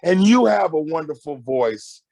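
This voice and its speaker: A loud, emphatic middle-aged man giving animated, conversational advice as a guest counselor, often repeating phrases to drive them home. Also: a little high, measured pace, creaky, dry recording. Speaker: guest marriage counselor and mentor.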